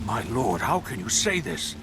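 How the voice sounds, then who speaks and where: An actor playing a fanatical leader of murderous escaped slaves, reverent and grandiose in a dramatic video game scene. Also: male voice, medium pitch, very animated, moderate pace, noisy background, natural voice. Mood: pleading. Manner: reverent, grandiose, intense proclaiming.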